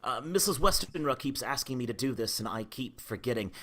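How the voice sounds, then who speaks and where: A man performs an indignant, sceptical doctor with a sharp, forceful voice. His pitch swings widely as he speaks with excitable emphasis in old-time radio drama style. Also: brisk, lightly acted. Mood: nervous, polite. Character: sceptical, hot-tempered male doctor, indignant and flustered. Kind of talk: vintage radio drama acting.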